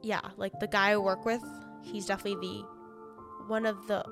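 A woman whose first language is Chinese, co-hosting a casual podcast chat; chatty and quick to laugh. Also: mid-range voice, level tone, over music. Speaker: podcast co-host.

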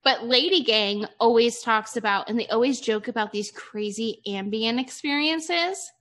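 A woman from Ohio hosting a casual podcast chat, candid and laughing easily with quick asides. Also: fairly high pitch, fairly bright tone. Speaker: podcast host.

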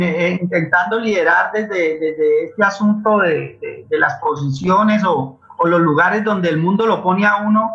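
A Colombian man speaking as a guest in an impassioned conversational interview, halting and repeating words as he searches for them. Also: high-pitched, a bit bright. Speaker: guest playwright and actor. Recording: dull lo-fi roomy online call.

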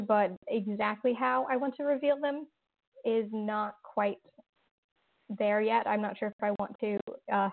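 A woman, a horror writer and interview guest, answering thoughtfully with lots of fillers and restarts. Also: mid-range voice. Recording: tinny phone-like line.

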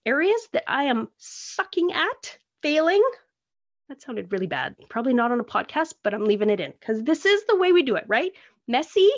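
Canadian woman, solo podcast host, chatty and self-mocking, slightly high voice, somewhat dull recording. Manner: rambling, self-deprecating, chatty. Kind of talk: casual solo podcast monologue. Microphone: phone recording.

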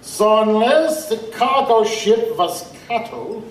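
An actor playing a courtly, condescending vampire liaison with formal, deliberate phrasing in a steampunk audio drama. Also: male voice, high voice, expressive. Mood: haughty, amused. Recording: echoey, distant, faint noise.

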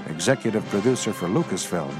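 A man narrates a radio drama in a fairly low voice. His delivery is scripted, solemn and measured, and music plays clearly behind him. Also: expressive.

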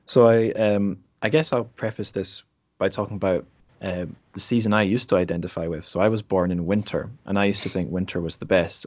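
A low-pitched man with a Scottish accent hosts a podcast interview. He talks casually and ramblingly, and the sound is close and dry, with little room around it. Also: no echo. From Dundee, Scotland.

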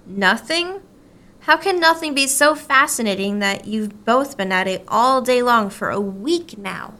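A woman's voice: a little high, lively intonation, a little slow, sharp tone. A woman playing a harried, wry mother in a radio play, with an exasperated, folksy way of talking. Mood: bossy, prying.

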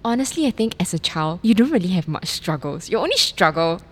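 Singaporean woman late 20s hosting a podcast, chatty and jokey, lowish voice. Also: sing-song, fairly quick. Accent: Singaporean English. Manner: chatty, joking, many fillers like "like" and "right?". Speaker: podcast host and producer. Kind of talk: casual chat.

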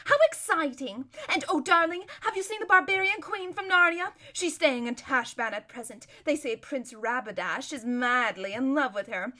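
Storybook role: giggly, vain young society lady, played by a woman reader. High, bright, loud, gushing drawl. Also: lively intonation, moderate pace, hardly any pauses. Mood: breezy, gossipy.

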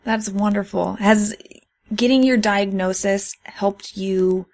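A woman's voice: mid-range voice, fairly even intonation, slow pace, a little mellow. A woman speaking as a podcast host in a conversational interview style, asking and explaining.

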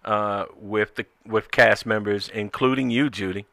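A man's voice: on the low side, steady tone, a little slow, gravelly, pushed and bright, dry recording. A man hosting a talk show, interviewing guests in a conversational style.

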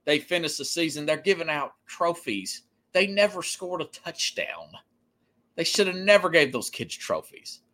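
A man's voice: a little high, sing-song, normal speed, bright, forceful tone. A man in his forties hosting a sports talk show, giving casual, emphatic opinions and telling anecdotes in a conversational way. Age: 40s.

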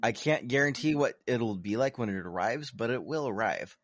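A man's voice: mid-range voice, animated, moderate pace, no echo. A young man co-hosting a casual podcast, rambling and poking fun at himself. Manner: rambling, self-deprecating joker. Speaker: podcast co-host. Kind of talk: casual chat.

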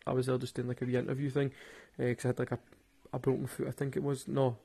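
Gravelly Glaswegian man telling stories. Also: mid-range voice, completely flat delivery, quick pace, warm tone. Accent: Glaswegian Scottish. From Glasgow, Scotland. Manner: rambling, sweary, laughs at his own stories. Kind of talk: casual storytelling monologue.

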